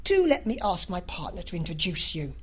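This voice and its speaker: Woman as an eager, cheerful young gentleman. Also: on the low side, big pitch swings, brisk, slightly rough. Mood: enthusiastic. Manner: enthusiastic, urging, friendly. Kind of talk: classic novel audiobook character reading.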